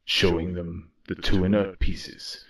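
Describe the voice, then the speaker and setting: An actor playing a weary, solemn robot war leader in an audio drama, speaking in a grave, measured way. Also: male voice, low-pitched, level tone, a bit bright. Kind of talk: audio drama dialogue and first-person narration.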